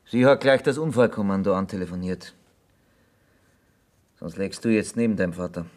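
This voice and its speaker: An actor playing a sober, probing confidant in a German radio drama, speaking in a measured, matter-of-fact way. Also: male voice, sits low, a little mellow, natural voice. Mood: grave, calm. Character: sober, probing male confidant to a farm boy.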